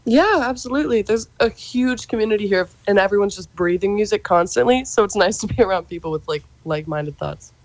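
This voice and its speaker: A woman's voice: medium pitch, expressive, brisk, hardly any pauses, no echo, rough recording. A young adult woman, a musician, answering radio interview questions in a friendly, upbeat way. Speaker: musician guest.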